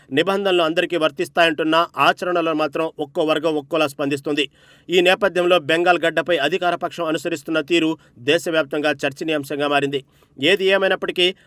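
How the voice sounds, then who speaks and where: A man's voice: fairly high pitch, fairly bright tone, dry recording. A man reading a scripted news report as narrator, with an emphatic, dramatic delivery.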